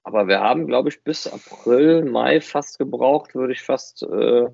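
A man's voice: medium pitch, unhurried, vocal fry, darkish tone, no echo. A man co-hosting a fan podcast, explaining things in a casual, conversational way. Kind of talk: casual explanatory chat about a hobby production. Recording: slightly dull, dry close recording.

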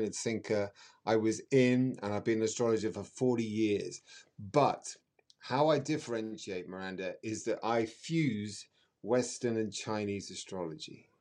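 A man's voice: fairly low pitch, moderate pace, a little mellow. A middle-aged man, an astrologer guest chatting and telling stories in an exuberant, flowery, emphatic way. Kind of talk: chatty interview storytelling.

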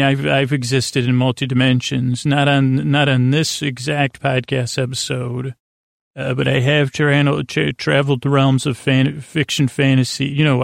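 A man with a creaky voice, rambling through a bedtime podcast monologue in a halting, stammering way, full of false starts. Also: mid-range voice, no echo, clean studio sound. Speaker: podcast host.

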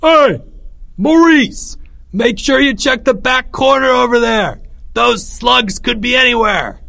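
A bossy boy general, voiced by a man reading a storybook, loud and raised in pitch. He is scornful and commanding, barking orders at his playground troops. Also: sing-song, normal speed. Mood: shouting orders.

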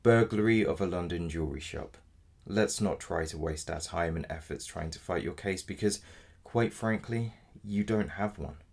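Man playing audio drama solicitor, very deep, husky, measured, formally polite, bluntly apologetic. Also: darkish tone. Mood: blunt, resigned. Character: apologetic but blunt male defence solicitor in dystopian future. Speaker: actor.

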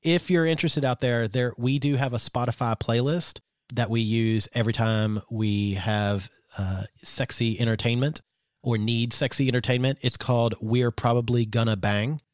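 A man co-hosting a podcast, chatting in a relaxed way, with frequent fillers and the odd swear. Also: mid-range voice, normal speed, no echo, clean studio sound. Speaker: podcast co-host. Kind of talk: casual chat.

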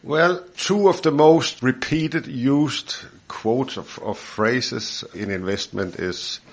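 A man's voice: mid-range voice, slow. A man in his fifties from Denmark with a Danish accent, a financial adviser chatting in a studio podcast interview, repeating words and chuckling as he explains.

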